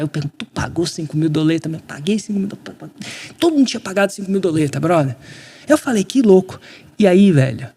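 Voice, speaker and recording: A man from Brazil, a podcast guest, telling a story in casual conversation, animated and slangy, acting out quotes. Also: high-pitched, slightly rough.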